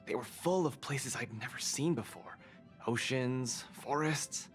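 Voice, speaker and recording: Man acting an earnest, mid-pitched young dreamer. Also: unhurried, close to an everyday voice. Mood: wistful, friendly. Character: earnest, wide-eyed young male fighter, friendly dreamer. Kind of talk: video game voice acting, conversational dialogue. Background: music.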